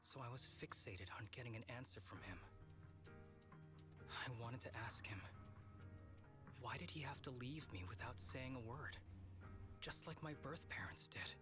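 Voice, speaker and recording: An actor voicing a laid-back young male agent in a video game, wry but reflective, with soft, measured, earnest delivery. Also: medium pitch, natural voice. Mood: subdued. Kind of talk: scripted video game dialogue. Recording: game audio with music behind.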